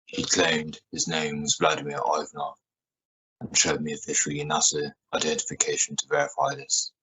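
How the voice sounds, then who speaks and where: A man playing a level-headed space mission crew member in an amateur radio drama, measured and matter-of-fact. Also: very deep voice, monotone, bright, forceful tone. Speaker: amateur radio play actor. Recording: noisy home recording with clicks.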